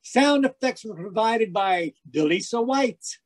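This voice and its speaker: A man playing an eager, cheery 1940s radio announcer and sponsor pitchman. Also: animated, unhurried. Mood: formal sign-off.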